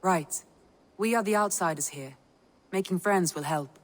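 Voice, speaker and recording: A woman acts a strategic Viking advisor for a game. Her voice sits low and she explains things formally and with composure. A long reverb hangs on the sound. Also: unhurried, close to an everyday voice. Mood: composed. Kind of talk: dramatic game dialogue.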